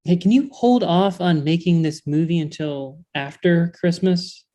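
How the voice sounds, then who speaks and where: A woman acts out an officious male elf in a comedy read. The voice sits a bit low, with an earnest, worried and slightly hesitant delivery. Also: a little slow, mellow, natural voice. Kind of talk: table read comedy acting.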